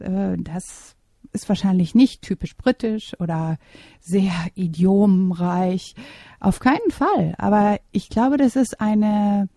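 Middle-aged woman talking it through on a radio interview, warm mellow voice, dry close mic, pauses and little ums. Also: medium pitch, vocal fry, no echo. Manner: thoughtful, measured, with hesitations. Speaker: interviewee, German teacher. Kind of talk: conversational interview answers.

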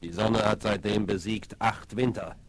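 Man playing a solemn, gravelly warrior chief. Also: fairly low pitch, fairly even intonation, normal speed, slight background noise, lightly acted. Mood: grave, admiring. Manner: solemn, ceremonious, inverted word order. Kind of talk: 1970s German radio play acting. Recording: vinyl rip of 1970s radio play.

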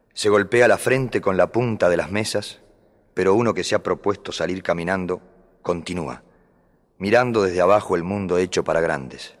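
A man reciting humorous poetic prose in a measured, deliberate way, with dramatic emphasis. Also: lowish voice. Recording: long reverberant hall sound.

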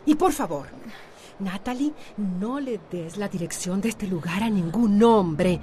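An actress playing a bold, commanding, guarded salon owner in a radio soap. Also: female voice, mid-range voice, animated, unhurried, a crowd in the background, close to an everyday voice. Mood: alarmed, insistent.

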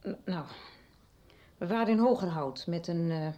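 A middle-aged woman playing a guarded, worried mother in a radio soap, speaking in a hesitant, halting way. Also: fairly low pitch, dark tone, highs cut off, natural voice. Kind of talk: radio drama acting.

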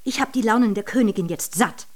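An actress playing a worn-out, rebellious maidservant in a German radio drama, muttering theatrically to herself, fed up and bitter. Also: female voice, medium pitch, quick pace, a little mellow, lightly acted. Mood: bitter, urging.

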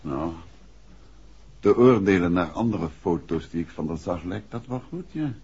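A composed gentleman detective in an old radio serial. He muses and questions in a low voice with lively inflection. The recording is dull, with faint noise behind it. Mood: inquisitive. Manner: measured, musing, inquisitive. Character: composed, thoughtful gentleman amateur detective, middle-aged husband. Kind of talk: 1960s radio drama acting.

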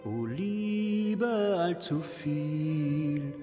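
Slow, mellow male classical singer. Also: on the high side, lively intonation, faint music behind. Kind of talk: classical art song singing. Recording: long reverberant hall sound.

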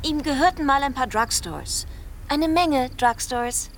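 An actress playing a restless young society wife in a radio play, airy and flippant with a touch of irony. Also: female voice, sits high, a little slow, a little hiss or hum. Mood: defensive. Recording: night crickets and outdoor ambience. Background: chirping crickets.

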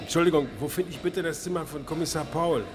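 An actor playing a scruffy, irritable middle-aged father in a German radio drama, talking in a colloquial, gruff way. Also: fairly high pitch, fast talker, over music, close to an everyday voice. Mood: tense.

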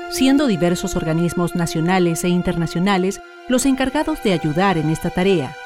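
Woman narrating a documentary over music. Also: lowish voice. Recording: music bed under the narration.

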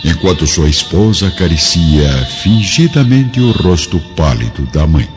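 A man narrating a radio drama in a solemn, literary, measured and dramatic style. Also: low-pitched, sing-song. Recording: dull radio drama audio with music.

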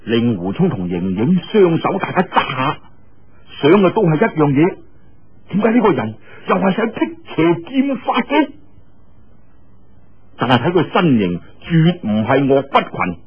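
A male Cantonese storyteller delivers martial-arts fiction with drama and colloquial flair. His voice is highish and husky, with wide swings in pitch. The recording sounds dull and lo-fi. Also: very animated, measured pace.

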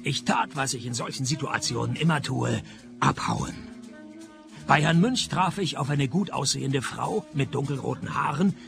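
Noir-comedy radio detective and narrator: dry, deadpan sarcasm, strong vocal fry. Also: male voice, medium pitch, moderate pace, music in the background. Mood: sarcastic. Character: sardonic, deadpan male private detective narrating his case.

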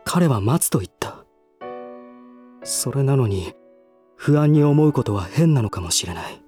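An actor playing a troubled, reserved young gentleman in a voice drama, hesitant, introspective and formal in manner. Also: mid-range voice, animated, measured pace, faint music behind, close to an everyday voice. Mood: anxious, brooding. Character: troubled, reserved young gentleman brooding over his half-brother. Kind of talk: Japanese voice drama acting with inner monologue.

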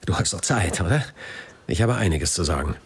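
A man acting in a radio crime drama as a subdued but resolute man with a halting, earnest delivery. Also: low-pitched, expressive, very noisy. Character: subdued, resolute man just left by his partner.

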